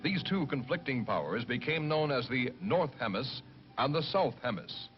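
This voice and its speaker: A man narrating a science fiction film in a measured, formal, scripted documentary style. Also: medium pitch, animated, lightly acted. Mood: solemn, expository. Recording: dull old film soundtrack. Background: prominent music.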